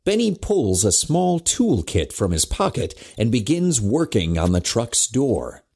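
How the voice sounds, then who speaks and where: A man's voice: medium pitch, big pitch swings, unhurried, creaky, a little mellow, breathy edge. A man reading scripted narration for a superhero audio drama in a dramatic storytelling manner. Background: music.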